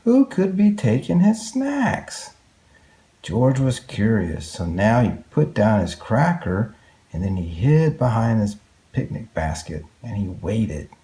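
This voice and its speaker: A man's voice: fairly low pitch, huge pitch swings, takes it slowly, dark tone. A man reading a picture book aloud to young children, expressively, with exclamations and questions to his listeners. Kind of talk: read-aloud picture book narration with asides.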